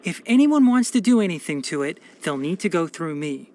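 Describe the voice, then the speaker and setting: A young fox-eared forest ranger from a fantasy video game. He is a practical scholar who speaks in articulate, measured phrases with a dry, wry edge. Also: on the high side, big pitch swings, a little mellow. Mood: thoughtful. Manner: precise, articulate, matter-of-fact with dry sarcasm. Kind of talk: scripted video game character voice lines.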